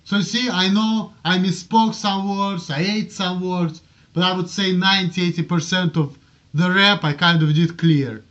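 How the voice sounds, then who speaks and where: High-voiced man with a strong Russian accent. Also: steady tone, measured pace, a bit bright, muffled recording. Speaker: amateur video blogger. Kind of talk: casual rambling talk to camera.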